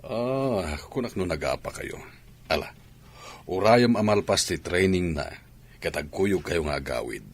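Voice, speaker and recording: A man's voice: sits low, expressive, unhurried, husky, heavily acted. A man with an Ilocano accent playing a kindly, inquisitive coach in a radio drama.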